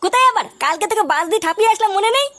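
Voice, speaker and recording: A brash, nosy little cartoon boy played by a woman with an extremely high voice. The kid rattles along quickly, teasing and swearing. There is a little noise behind the voice. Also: animated. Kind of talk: crude comedy cartoon dubbing.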